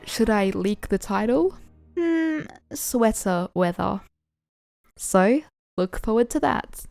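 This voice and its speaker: A woman narrating and hosting fan fiction audio in an expressive storytelling style. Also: fairly high pitch, slow pace, vocal fry. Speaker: narrator and host. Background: background music.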